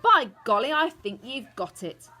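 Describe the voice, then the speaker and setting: A woman voicing an old grandmother in a storybook, patient but firm and affectionate, with measured, emphatic phrasing. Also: fairly high pitch, lively intonation, bright, forceful tone, pitched above the performer's own voice, full character voice. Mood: conspiratorial, admiring. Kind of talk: storybook character voice.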